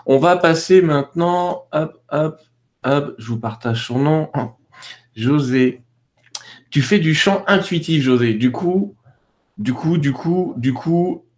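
A man hosting a casual live show, relaxed and joking, with hesitant fillers as he handles the guests. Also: medium pitch. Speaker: host.

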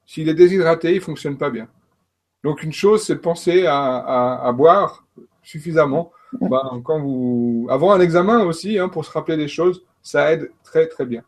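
A man's voice: fairly high pitch, moderate pace. Hesitant man coaching on learning. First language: French. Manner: hesitant, many fillers and restarts. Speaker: coach and trainer. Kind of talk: panel talk on learning.

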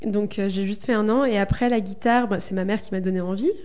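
A woman's voice: mid-range voice, steady tone, fast, a little mellow, clear, resonant tone. A young woman from Germany, a singer in a folk duo, answering interview questions in a hesitant way with many fillers. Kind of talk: casual radio interview.

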